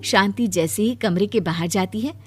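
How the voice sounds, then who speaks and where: A female narrator tells a children's moral story from a script. Her voice sits at a medium pitch, and faint music plays underneath. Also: moderate pace, a little mellow, slightly rough.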